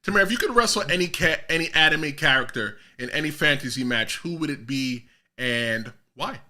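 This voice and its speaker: A man with a Jamaican American and New York accent hosts a relaxed interview, prodding and teasing his guest with plenty of energy. His voice is sharp and very bright, sitting a touch higher than most men's. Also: moderate pace. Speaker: podcast host. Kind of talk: casual interview.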